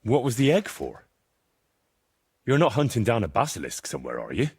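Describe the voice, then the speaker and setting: An actor playing a blunt, loyal young medieval adventurer in a video game, questioning and sardonic, with a slightly rough voice. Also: male voice, mid-range voice, wildly swooping pitch, moderate pace, darkish tone, no room sound, studio quality, exaggerated voice. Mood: skeptical, sardonic. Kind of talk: video game dialogue acting.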